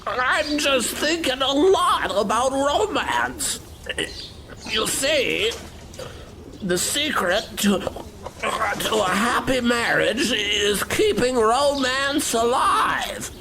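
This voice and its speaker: An actor playing a dreamy, halting old romantic in a comic radio play. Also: mid-range voice, lively intonation. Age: older. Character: romantic old man with pigeons in park. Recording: very noisy, birds cooing behind.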